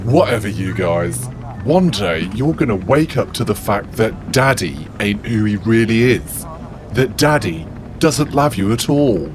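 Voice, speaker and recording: A self-righteous demigod warlord, as a man puts him on, preaches with earnest indignation. His pitch swings widely as he lectures. A steady hiss of noise sits behind the voice. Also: unhurried. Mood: preachy.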